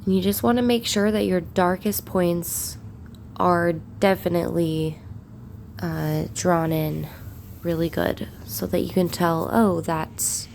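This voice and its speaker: A woman with a croaky voice from a cold, narrating a drawing tutorial in an unhurried way while she shades. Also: on the low side, fairly even intonation, a little mellow, a little hiss or hum. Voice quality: gravelly. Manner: unhurried, talks while shading. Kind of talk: relaxed drawing tutorial voice-over.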